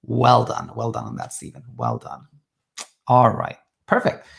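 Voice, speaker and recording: Man from Sweden, sleep coach, gravelly, mid pitch, calm reassuring advice, live Q&A. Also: a little slow. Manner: calm, reassuring, thinks aloud with restarts.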